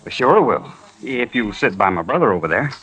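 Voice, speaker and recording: An earnest young rancher in a radio western. He has a mid-pitched voice and speaks in a hesitant, folksy, respectful way, like a worried protective brother. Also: big pitch swings, normal speed, fairly bright tone, slightly rough, rough recording. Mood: shy, pleading. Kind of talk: 1950s radio western acting.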